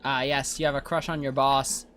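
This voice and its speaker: A man's voice: medium pitch, little pitch movement, moderate pace, a bit bright, slight background noise. A man doing casual, chatty playthrough commentary as a game streamer, musing aloud. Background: faint game music.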